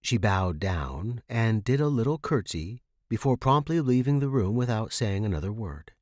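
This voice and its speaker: A man's voice: on the low side, expressive, normal speed, a little mellow, no echo, clean studio sound. A man reading a scripted first-person horror story as a podcast narrator.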